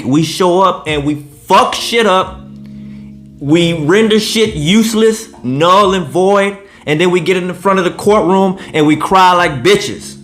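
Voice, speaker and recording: A man in his late thirties giving blunt, profane, indignant reaction commentary. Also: on the high side. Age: 30s. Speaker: commentary vlogger.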